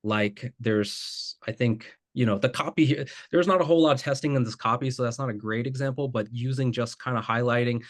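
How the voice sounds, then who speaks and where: A man working as a guest ad expert, reviewing submitted ads live in a casual, loose way with plenty of filler words. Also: mid-range voice. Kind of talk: live ad critique session.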